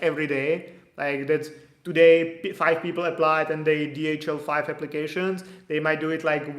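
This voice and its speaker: A man's voice: on the high side, a bit bright. A man answering viewer questions on immigration during a live stream, in a casual, hedging manner. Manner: casual, hedging, reads questions aloud then explains. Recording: echoey room.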